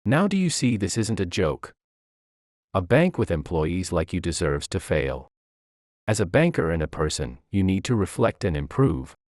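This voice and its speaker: Male narrator as CEO, composed, firm, low pitch, dry sound, story dialogue. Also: very animated, moderate pace, darkish tone, dry recording. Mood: stern. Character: stern, composed male CEO delivering judgement.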